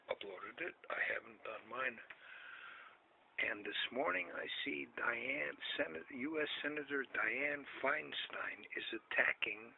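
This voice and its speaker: A man in his seventies rambles through a casual talk, circling back over the same points and pushing his voice up when he questions someone. The sound is thin and phone-like, with a faint hiss under it. His pitch sits in a middle range. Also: a little slow, creaky. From United States. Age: 70s. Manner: rambling, repeats himself, loud when questioning. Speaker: vlogger.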